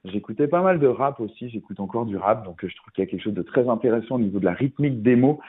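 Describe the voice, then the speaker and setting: A man's voice: medium pitch, animated, fast talker, breathy edge. A middle-aged man, a musician guest on a radio interview, talking casually with many fillers and restarts. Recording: tinny phone-like radio sound.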